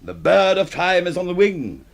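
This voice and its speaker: Casting: grandiloquent hard-drinking critic, middle-aged, scornful, theatrical swooping delivery for radio drama. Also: male voice, sits high, very animated, normal speed, vocal fry, full character voice. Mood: boisterous. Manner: pompous, florid, declamatory.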